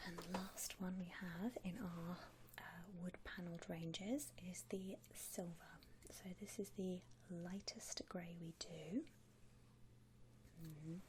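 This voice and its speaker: Over clear background noise, a woman whispers very slowly, close to the mic, in a breathy ASMR voice. She plays an accommodating shop assistant, gentle and reassuring, with a slightly low pitch for a woman. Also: fairly even intonation. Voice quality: breathy, mostly unvoiced whisper. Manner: gentle, hesitant, reassuring salesperson patter. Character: polite, accommodating female coffin shop assistant. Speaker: ASMR roleplayer playing a coffin shop saleswoman. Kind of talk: whispered ASMR customer-service roleplay.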